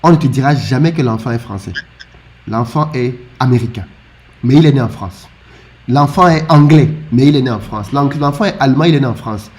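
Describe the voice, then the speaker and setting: A man from Africa talking in a casual discussion, animated and often stumbling over repeated words as he restarts. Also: mid-range voice, brisk. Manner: animated, with frequent stammered restarts.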